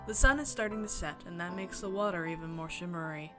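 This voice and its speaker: A woman game streamer expressively reading a visual novel aloud, breaking into excited, chatty asides. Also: on the low side, fast. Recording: music behind the voice. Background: game music.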